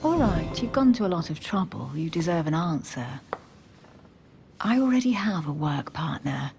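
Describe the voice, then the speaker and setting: A woman's voice: fairly low pitch, expressive, normal speed, gravelly, a little mellow. A guarded, witty woman over music. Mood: measured, reserved. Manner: composed, teasing, articulate. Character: guarded, witty, self-possessed secret-society woman. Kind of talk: dramatic video game dialogue. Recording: music under the voice.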